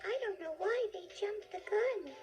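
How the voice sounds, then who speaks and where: A woman voicing a small, excitable girl toy creature, plaintive and eager, in a children's storybook. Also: very high voice, a little slow, soft background music, pitched above the performer's own voice. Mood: whiny complaint.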